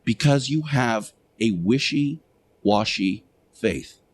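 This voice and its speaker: A man delivering a sermon-like radio monologue as a show host, speaking emphatically and preachily with deliberate rhetorical repetition. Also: medium pitch, lively intonation, slightly rough.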